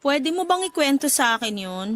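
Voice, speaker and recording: A woman's voice: high-pitched, big pitch swings, moderate pace. A woman playing a young Filipino working daughter with hurt feelings in a radio drama, in an emotive, conversational delivery. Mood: coaxing. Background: background music.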